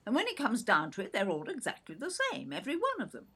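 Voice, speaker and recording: A woman's voice: mid-range voice, wildly swooping pitch, fast, fairly bright tone, breathy edge, full character voice. An actress playing an older English mother in an audio play, indignant and brusque, condescending as she defends her son. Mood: brusque, dismissive. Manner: clipped, brusque, condescending, calls listener "dear".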